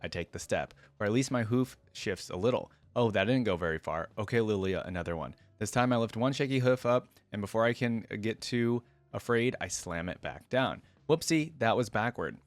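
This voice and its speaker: A man voicing a shy, clumsy young female fawn spirit as he reads a fantasy story aloud in a gentle, hesitant manner. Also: fairly low pitch, animated, normal speed, few pauses, no room sound, natural voice. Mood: nervous, self-coaxing. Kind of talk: first-person fantasy story read aloud.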